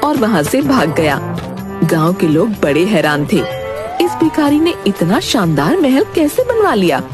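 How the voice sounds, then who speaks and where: Lively woman narrating a story. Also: medium pitch, a little slow, music in the background. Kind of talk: scripted cartoon story narration.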